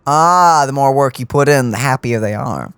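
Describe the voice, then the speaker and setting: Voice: mid-range voice, expressive, moderate pace, background noise. A game streamer giving casual, playful commentary with teasing, joking asides during a playthrough.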